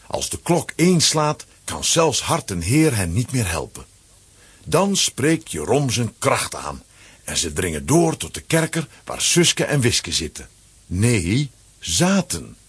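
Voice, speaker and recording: A man with a husky voice narrating a children's audio play as a dramatic scripted story. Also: mid-range voice.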